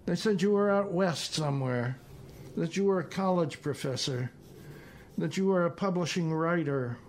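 A man voicing an anxious, disappointed older woman who talks fast in a short story reading. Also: on the high side, a little mellow, a little hiss or hum, voice pushed up, natural voice. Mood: wistful. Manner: fast-talking.

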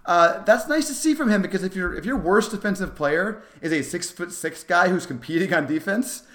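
A man's voice: high-pitched, expressive, moderate pace, fairly bright tone. A man co-hosting a sports podcast, giving casual, emphatic and opinionated analysis in a roomy space. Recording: roomy, echoey sound.

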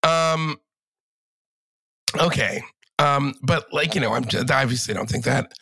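A man with a cold and a raspy voice, chatting casually as a podcast guest and rambling through restarts and fillers. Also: mid-range voice, a little slow, pushed and bright, no room sound. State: has a cold. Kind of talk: casual political chat.

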